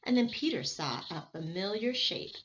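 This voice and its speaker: A woman's voice: mid-range voice, expressive, normal speed. A woman reading a children's adventure story aloud like a teacher, expressively, with occasional stumbles. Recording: muffled, with clear background noise.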